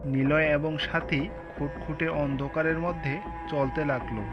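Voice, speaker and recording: A man's voice: a little high, flat delivery, unhurried, highs cut off. A man narrating a scripted cartoon story, with music playing behind his voice.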